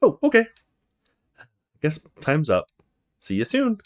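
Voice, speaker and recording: Man putting on a bubbly, excitable young woman, pitched up. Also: takes it slowly, exaggerated voice. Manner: gushing, playful, overexcited.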